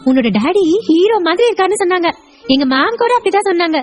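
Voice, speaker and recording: A woman voicing an eager, excited little schoolgirl in a chirpy, childlike way for an audio story. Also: extremely high pitch, fast, faint music behind, voice pushed up. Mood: excited, happy. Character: excited little schoolgirl doting on her daddy. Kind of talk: Tamil audio story acting.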